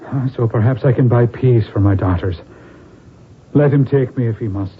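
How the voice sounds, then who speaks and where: An actor playing a fearful, fatalistic gentleman and father in a theatrical 1970s radio drama. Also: mid-range voice, normal speed, narrow, tinny audio, natural voice. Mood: grave, resigned. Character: doomed, fearful Irish gentleman father, last of his line. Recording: band-limited old radio recording.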